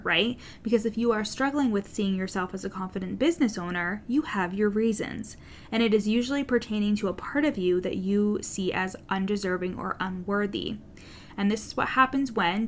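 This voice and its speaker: A woman's voice: medium pitch, slight background noise. A woman coach with a stutter giving a direct, earnest solo podcast monologue to her listeners, with an occasional swear word. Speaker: business coach, podcast host.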